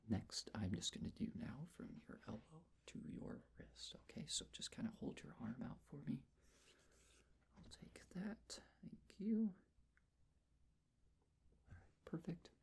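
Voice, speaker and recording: Breathy whispering ASMR roleplayer. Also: medium pitch, big pitch swings, unhurried, long pauses. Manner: whispered, gentle, reassuring step-by-step instructions. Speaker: ASMR performer playing a measurer taking face and body measurements. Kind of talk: ASMR roleplay, soft-spoken personal attention.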